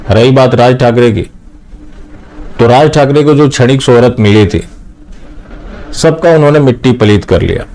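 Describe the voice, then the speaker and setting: A man with a mid-pitched voice delivers political commentary as the host of a news channel. He addresses viewers directly, and his manner is critical and opinionated. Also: mellow, rough recording.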